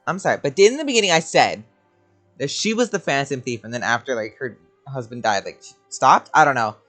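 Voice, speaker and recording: A woman's voice: a bass voice, expressive, a little fast, fairly bright tone. A woman giving casual, colloquial, sweary reactions as she plays a video game. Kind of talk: casual gameplay commentary. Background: game music.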